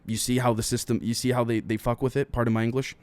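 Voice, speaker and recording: A man's voice: medium pitch, level tone, fast talker, a little mellow, dry recording. Man, podcast host, middle-range voice, opinionated news commentary, casual and chatty, speaks straight to listeners. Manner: opinionated, speculative, conversational; frequently addresses listeners directly.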